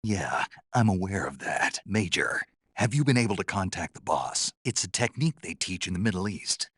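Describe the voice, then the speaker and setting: A man playing a gruff, terse American soldier on a covert mission in a video game, with a low, gravelly, husky voice. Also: expressive, fairly bright tone, no room sound, full character voice. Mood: matter-of-fact, instructive. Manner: terse, deliberate, dry. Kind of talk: dramatic game voice acting.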